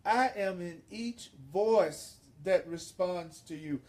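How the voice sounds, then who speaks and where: A man giving an inspirational spiritual sermon, speaking emphatically and deliberately with repeated phrases. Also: sits high, a little mellow. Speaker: spiritual teacher leading a course.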